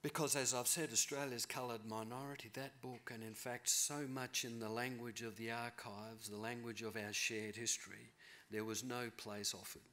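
Middle-aged man, bright podium lecture voice. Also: medium pitch, little pitch movement. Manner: thoughtful, measured, rephrasing as he speaks. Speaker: keynote speaker, novelist. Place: large auditorium stage. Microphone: podium mic.

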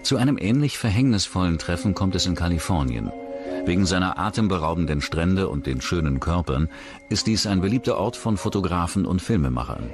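A man's voice: deep voice, expressive, brisk, over music. A man reading scripted true-crime documentary narration as the narrator.

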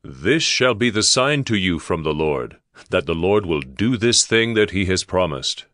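An actor playing a grave prophet in a dramatized scripture reading, speaking with declamatory authority. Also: male voice, low voice, sing-song, a little slow, fairly bright tone, no echo, clean studio sound, heavily acted. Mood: solemn proclaiming. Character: grave male prophet delivering God's word.

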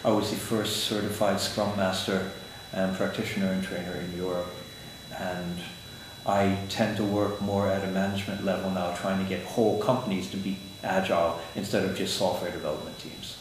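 An older man with a lowish voice explains his ideas calmly and deliberately, with the odd dry joke. The sound is very roomy, picked up by a camera a few feet away in an office, with a faint hum of ambience behind him. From Switzerland, raised in the United States. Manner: measured, explanatory, dry humor. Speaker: interview guest, agile consultant. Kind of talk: conference interview. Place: office room, indoors. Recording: echoey room with faint ambience.

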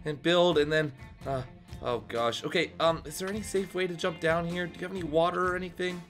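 A man playing an excitable, hesitant superhero leader who gives orders in an improvised video game roleplay. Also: fairly high pitch, brisk, lightly acted. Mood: bossy, flustered. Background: music.

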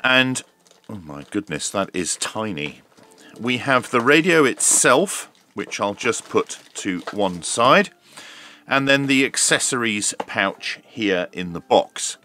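A man's voice: fairly low pitch, very animated, slow pace, pushed and bright. A man casually narrating a hands-on product unboxing and review in an informal, running commentary. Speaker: host of a radio review channel.

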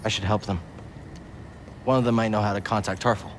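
An actor playing an earnest, determined young warrior monk in a video game, speaking sincerely and briefly. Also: male voice, lowish voice, steady tone, a little fast, slight background noise, lightly acted. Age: young adult. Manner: sincere, quiet, brief replies. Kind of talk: understated video game acting.

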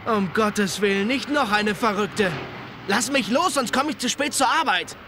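A man's voice: high voice, moderate pace, noisy background, lightly acted. A man voicing an aloof, brusque young man in an anime audio drama. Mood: annoyed. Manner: brusque, irritated. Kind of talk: German-dubbed anime radio play.

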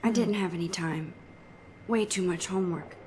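A woman's voice: on the low side, moderate pace, slight background noise, close to an everyday voice. A young woman with an American accent voicing a shy, wry, geeky teenage photography student in a video game. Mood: nervous, self-doubting. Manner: wry, slangy, self-doubting. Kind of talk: introspective game voice acting.

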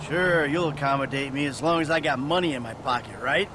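An actor playing a cynical middle-aged crook in a video game, speaking in a weary, sardonic way. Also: male voice, medium pitch, fairly quick, noisy background, lightly acted. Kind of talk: video game cutscene dialogue.

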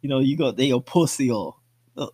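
A man's voice: medium pitch, normal speed, darkish tone, breathy edge. Casual, slangy man co-hosting a podcast. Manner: slangy, swears freely. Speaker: podcast co-host. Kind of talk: casual movie discussion.

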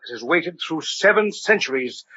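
An actor in an old-time radio drama playing an older, secretive gentleman scholar of the occult, grand and deliberate in manner. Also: on the high side, animated, breathy edge, lo-fi sound, exaggerated voice. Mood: excited, intent.

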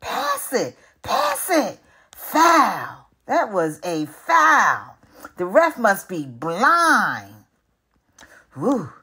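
Woman acting rowdy basketball fans, male storybook figures, pitched up high, loud drawn-out shouts, excitable. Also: husky, full character voice. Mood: excited, indignant. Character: loud, excitable men cheering a televised basketball game.

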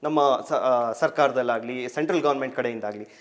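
A man's voice: mid-range voice, brisk. A man with an Indian accent from Karnataka, giving emphatic explanations as an expert guest and mixing in English terms. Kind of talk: explanatory interview answers.

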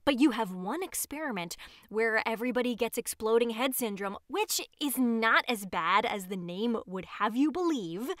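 A woman playing a scatterbrained, self-important disgraced neuroscientist in an audio drama, halting and digressive as she justifies herself. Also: a little high, expressive, a bit bright, no echo, studio quality. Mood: aggrieved. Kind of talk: audio drama monologue as if recording a homemade show.

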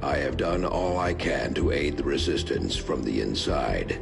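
A man playing a shadowy, grave councilman in a game cutscene, speaking solemnly and deliberately as a secret resistance ally. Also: rumbling low, sing-song, gravelly, over music, full character voice. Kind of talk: scripted game cutscene briefing.

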